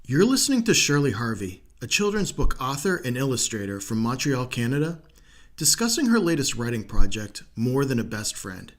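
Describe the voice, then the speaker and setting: A man's voice: mid-range voice, very animated, moderate pace, a bit bright. A man, politely hosting a podcast interview. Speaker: podcast host.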